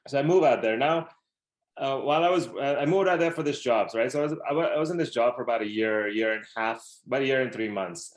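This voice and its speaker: A man in his thirties from Dubai, a podcast guest telling his life story in a loose, rambling way with many fillers. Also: medium pitch. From Dubai, Middle East. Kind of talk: casual storytelling interview.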